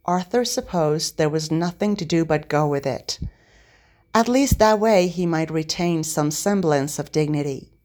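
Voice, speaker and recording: A woman reading a story aloud as narrator, in an expressive scripted style. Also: low-pitched, unhurried. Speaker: podfic narrator.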